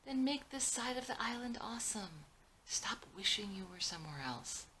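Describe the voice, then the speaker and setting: A woman reads the part of a seventeen-year-old boy in a film drama. He is blunt and teasing, easily exasperated, with a bright, forceful edge. There is noticeable room noise behind the voice. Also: mid-range voice, animated, moderate pace, voice pushed up. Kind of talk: dramatic script reading.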